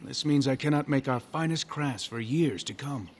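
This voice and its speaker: An actor playing a proud, fussy elven master craftsman in a video game, speaking formally and with measured scolding. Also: medium pitch, close to an everyday voice. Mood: courteous, grave. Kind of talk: video game character dialogue.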